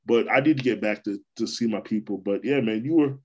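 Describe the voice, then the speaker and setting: Man, podcast host, from New York, casual chat, relaxed, repeats himself. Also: fairly low pitch, brisk, muffled recording. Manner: relaxed, repeats words and restarts often. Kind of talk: casual conversation.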